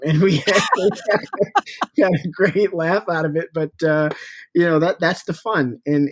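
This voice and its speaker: A man's voice: fairly high pitch, no echo. A man giving casual, encouraging interview answers as a podcast guest, with an upbeat and informal manner. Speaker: podcast guest, startup CEO and founder.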